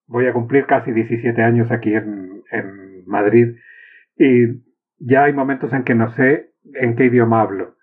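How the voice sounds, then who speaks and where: A man from Chile with a Chilean accent, hosting a casual conversation and asking curious, relaxed questions. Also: mid-range voice, measured pace, husky. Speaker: podcast host. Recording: tinny phone-like line.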